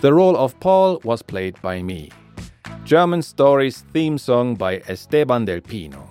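Male podcast teacher for scripted lessons: slow, deliberate delivery, lively rise and fall in a lowish voice. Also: soft background music. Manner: clear, deliberate, repeats phrases for learners. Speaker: language teacher and podcast host.

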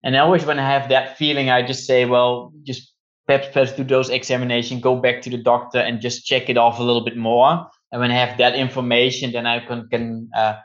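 A man's voice: medium pitch, level tone, a little fast, lo-fi sound. A man with a Dutch accent, a guest in a podcast interview, speaking English as a second language and searching for words with frequent repeats. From Netherlands. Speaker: physiotherapist guest. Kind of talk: conversational interview.

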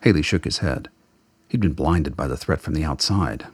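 A man's voice: extremely low pitch, normal speed, breathy edge, no echo. A man narrating a scripted radio drama in storytelling prose.

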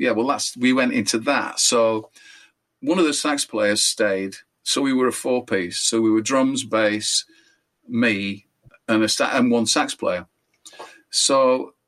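An older Englishman with a naturally high voice tells long, rambling stories from his days as a musician. He talks casually and keeps correcting himself mid-sentence, speaking at a middle pitch for a man. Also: fairly bright tone. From England. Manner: rambling, chatty, self-correcting anecdotes. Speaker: musician guest. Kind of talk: relaxed storytelling interview.

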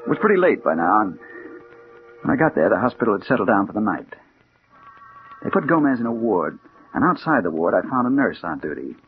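An actor playing a hard-boiled American detective, narrating and acting with dramatic intensity in a 1940s radio crime drama. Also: male voice, lowish voice, sing-song, brisk, pauses often, faint music behind. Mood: tense, hushed. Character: hard-boiled male private detective bent on revenge. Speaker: narrator and lead character. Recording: muffled old radio recording with hiss.

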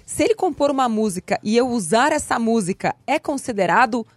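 A woman hosting a radio talk show, playful and animated. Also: a little high, a little fast, no room sound. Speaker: show host.

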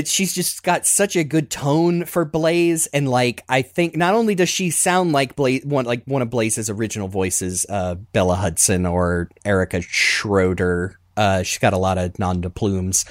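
A man with a mid-pitched voice reminisces in a casual, rambling way. He is enthusiastic and quick to laugh, and the sound is dry with almost no room to it. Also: dry recording. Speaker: podcast host, fan audio play creator.

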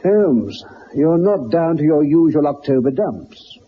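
An actor playing a genial, loyal gentleman with an English accent, well-spoken and literary, in the style of 1960s radio drama. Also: fairly high pitch, expressive, measured pace, breathy edge. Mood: jovial, teasing. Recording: muffled, noisy 1960s radio recording.

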